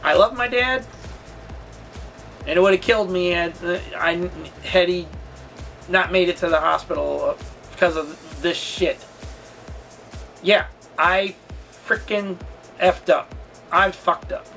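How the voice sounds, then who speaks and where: High-voiced man, candid storytime monologue. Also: vocal fry, bright, forceful tone, faint music behind. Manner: halting, candid, rambling. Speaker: storytelling vlogger and channel host. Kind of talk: personal storytime monologue, casual.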